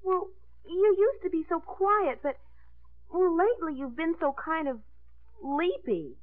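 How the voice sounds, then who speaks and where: An actress playing a haughty, dramatic teenage daughter with affected, prim airs in a 1950s radio drama. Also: female voice, extremely high pitch, animated, unhurried. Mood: prim, critical. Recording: noisy, narrow 1950s radio broadcast.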